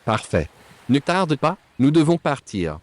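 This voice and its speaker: A man's voice: fairly high pitch, sing-song, measured pace. A man playing a curt, impatient guard in an audio drama, with a brusque, commanding manner. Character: curt, impatient male guard hurrying prisoners along.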